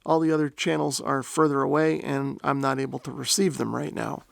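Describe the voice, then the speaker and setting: A man's voice: medium pitch, flat delivery, brisk, gravelly, no echo, clean studio sound. A man narrating a product demonstration in a methodical, step-by-step explanatory way. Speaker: host of a radio gear review channel.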